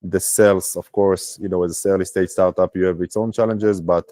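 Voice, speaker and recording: A man from Israel, a startup founder, talking casually on a video call with many pauses and fillers. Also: sits low, fairly even intonation, dark tone, no echo.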